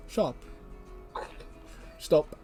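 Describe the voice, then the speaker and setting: A man's voice: very slow, mellow, soft background music. A man hosting a casual livestream, reading the chat aloud in a joking way and laughing often. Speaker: stream host.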